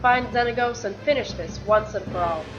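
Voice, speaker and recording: A woman's voice: mid-range voice, normal speed, muffled recording, music in the background, natural voice. A woman playing a weary, guilt-ridden warrior and reluctant champion, telling her story earnestly in a fan-made fantasy drama. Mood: resolute. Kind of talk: dramatic dialogue in a fan-made motion comic.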